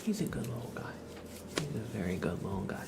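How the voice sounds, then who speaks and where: A man streaming ASMR, speaking softly in a gentle, coaxing way and chatting casually. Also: mid-range voice, sing-song, a little fast, creaky, far from the mic, very noisy. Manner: soft whispering, gentle coaxing talk.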